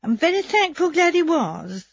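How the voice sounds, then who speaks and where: A woman's voice: very high voice, sing-song, moderate pace, no echo, lo-fi sound, voice pushed up, heavily acted. A woman voicing an anxious young housemaid who rambles and pleads breathlessly.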